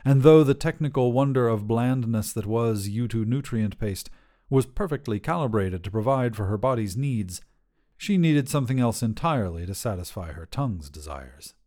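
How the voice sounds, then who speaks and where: A man's voice: medium pitch, expressive, normal speed, warm tone. A man reading a scripted short story as a podcast narrator.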